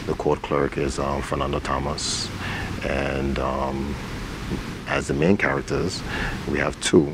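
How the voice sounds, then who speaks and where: A man answering interview questions in a halting, thoughtful, conversational way, speaking as someone who directs and acts in stage plays. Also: gravelly. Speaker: stage play director and actor. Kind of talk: interview answers about a community stage play. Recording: noisy recording with rumbling ambience.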